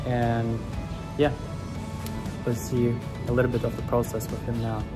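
A man's voice: mid-range voice, completely flat delivery, unhurried, music in the background. A man in his twenties with a German accent, talking casually and explaining things to the camera as a vlogger. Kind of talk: casual vlog talk to camera. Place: villa rooms, a furniture shop, roadside. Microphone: camera at arm's length.

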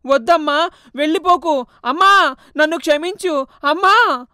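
A woman's voice: sits high, brisk, fairly bright tone, no echo, clean studio sound, voice pushed up. A woman voicing a grieving young man who pleads and cries out to his mother. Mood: weeping. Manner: tearful, pleading, crying out.

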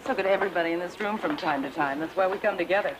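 An actress playing a brisk, friendly middle-aged woman who welcomes a newcomer. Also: fairly low pitch, noisy background, lightly acted. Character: brisk, motherly middle-aged meeting hostess. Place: indoor meeting room.

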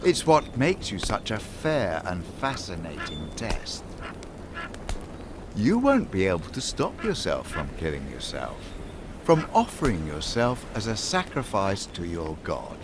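An actor in an audio drama playing an urbane, menacing island cult leader, politely mocking and grandiose. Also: male voice, low-pitched, sing-song, background noise, exaggerated voice. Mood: mocking, measured. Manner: grandiose, polite, drawn-out taunting delivery.

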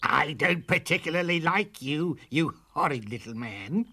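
A man's voice: a little high, expressive, unhurried, bright, forceful tone, husky, pitched above the performer's own voice, exaggerated voice. A man voicing a horrible, haughty female witch in a storybook, sneering and insulting with menace. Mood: scornful, bargaining. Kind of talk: storybook character voice.

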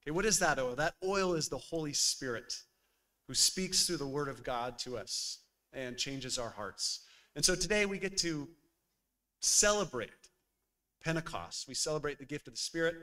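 A man preaches from a church pulpit, talking to the congregation in a relaxed, conversational way. His voice is bright and forceful and sits a little high for a man. He speaks into a boom mic on a stand. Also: normal speed. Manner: lively and conversational, with frequent uh fillers. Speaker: pastor. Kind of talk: sermon.

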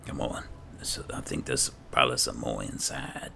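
A man giving low-key, muttered, casual roleplay commentary on a video game. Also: sing-song, normal speed, creaky, pushed and bright, a little hiss or hum. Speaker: gaming video host. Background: game music.

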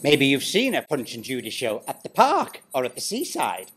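Playful middle-aged man, wildly swooping pitch. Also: wildly swooping pitch, normal speed, a bit bright, slightly rough. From Britain. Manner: playful, exaggerated, sweeping pitch swings. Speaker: storyteller and pantomime dame. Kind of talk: scripted explainer narration. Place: small dressing room, indoors.

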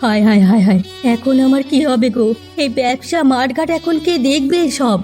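A woman's voice: high voice, animated, fairly quick, warm tone, soft background music. A woman playing a proud rich housewife fallen on hard times in a melodramatic cartoon tale, plaintive and dramatic. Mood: wailing.

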